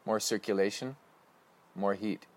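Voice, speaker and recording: Calm low-voiced man guiding meditation. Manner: slow, calm, instructive cues. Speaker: meditation guide. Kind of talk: guided breathing meditation.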